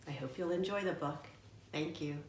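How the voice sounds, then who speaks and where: A woman with a low voice presents a book question as its author. The sound is echoey and a little distant from the mic. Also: normal speed. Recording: echoey room, distant mic, faint noise.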